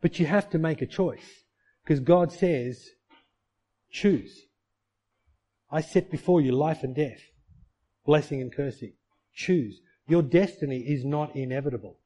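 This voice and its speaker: Middle-aged male pastor, warm mellow tone, slightly higher voice, earnest sermon delivery with rhetorical questions. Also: muffled recording. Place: church.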